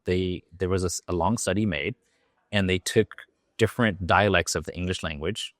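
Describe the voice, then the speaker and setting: A man's voice: deep voice, normal speed, dry recording, studio quality. A Japanese man speaking English with a learned American accent, a talkative podcast guest. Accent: American, learned from an acting coach. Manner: loose and talkative, fillers and restarts. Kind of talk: podcast interview.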